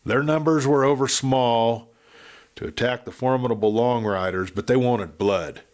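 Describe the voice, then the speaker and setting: A man narrating a Western audiobook with expressive, dramatic storytelling. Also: medium pitch, moderate pace.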